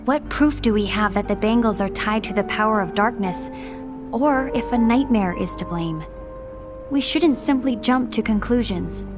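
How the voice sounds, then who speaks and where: Composed, high-voiced diplomatic young woman played by an actress. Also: over music, close to an everyday voice. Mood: firm. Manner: formal, measured, polite. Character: composed, diplomatic young woman, a dutiful fantasy order deputy. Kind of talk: scripted game cinematic acting.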